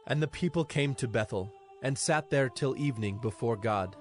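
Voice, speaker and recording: A man's voice: mid-range voice, normal speed. Male scripture narrator over music. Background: background music.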